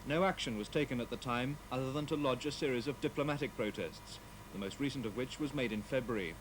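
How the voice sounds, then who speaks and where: Guy reporter narrating news, mid pitched voice, really hissy old tv recording. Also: fast talker. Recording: clearly noisy old TV broadcast tape.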